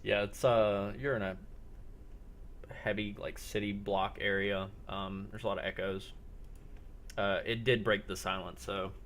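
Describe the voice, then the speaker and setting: A man running a roleplay game as game master, improvising descriptions in halting, paused phrases. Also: lowish voice, little pitch movement, a bit bright, a little hiss or hum.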